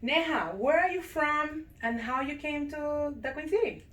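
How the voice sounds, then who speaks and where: A woman's voice: sits high, measured pace, roomy sound. A woman hosting an interview show, asking her guest long, winding questions. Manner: warm welcoming, asks long rambling questions. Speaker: show host and realtor. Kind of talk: interview host.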